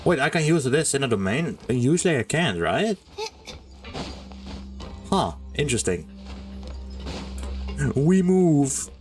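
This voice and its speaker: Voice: mid-range voice, big pitch swings, slow pace, long pauses, darkish tone. A game streamer making casual, playful commentary, gushing and easily flustered while chatting to the characters. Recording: game music behind the voice.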